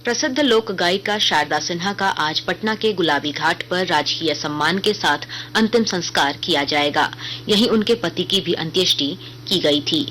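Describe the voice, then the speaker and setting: Lower-pitched Indian woman reading the news. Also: fairly bright tone, slight background noise, low-quality recording. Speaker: radio news reader. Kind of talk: scripted news bulletin reading.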